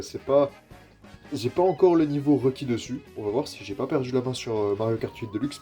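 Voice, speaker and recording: A man talks through a kart race with a stuffy, congested voice from a cold. His tone is mellow and warm, and his chatter is casual and wandering, full of fillers and mild swearing. Faint music plays underneath. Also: fairly low pitch. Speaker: gaming youtuber. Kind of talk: casual gameplay commentary.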